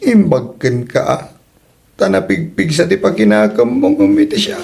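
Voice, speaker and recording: A younger Filipino farmer played by a man in a comedy drama, plaintive and full of self-pity. He speaks with an Ilocano accent in a gravelly, creaky voice that swings widely in pitch. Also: wildly swooping pitch, normal speed, darkish tone, full character voice.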